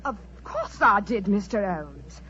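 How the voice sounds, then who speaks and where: A woman's voice: medium pitch, big pitch swings, measured pace. An actress playing a haughty, fiery female ruler in 1940s radio drama, with a commanding manner. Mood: proud, defiant. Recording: noisy, muffled 1940s radio recording.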